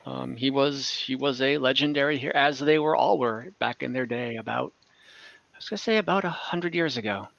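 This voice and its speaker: A game master improvising tabletop game lore, speaking haltingly and searching for words. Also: mid-range voice, vocal fry, fairly bright tone, no echo, dull audio. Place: online group call.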